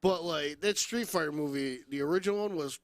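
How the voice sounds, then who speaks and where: Male podcast host, high voice, loud teasing banter, strong opinions, dry sound. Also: a little fast, no echo. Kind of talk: casual movie debate chat.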